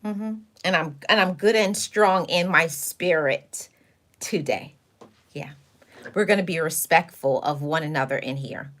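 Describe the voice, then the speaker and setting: A woman's voice: low voice, unhurried, fairly bright tone. A woman hosting a livestream, talking casually and sassily to her chat, giving motivational advice in a preachy, straight-talking way. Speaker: livestream host.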